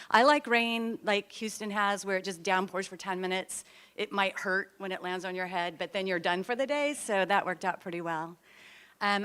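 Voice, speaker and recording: A middle-aged woman from Oregon giving a friendly, chatty talk to a gathering, with a loud voice and self-deprecating humor. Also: mid-range voice, smooth. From Oregon, USA. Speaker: guest speaker, nonprofit CEO. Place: meeting hall with audience.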